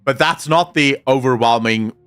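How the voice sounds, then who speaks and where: A man from South Africa with a soft South African English accent, Afrikaans his first language, chatting casually on a stream and answering chat. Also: mid-range voice, moderate pace, smooth. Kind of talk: casual live-stream chat, reading comments.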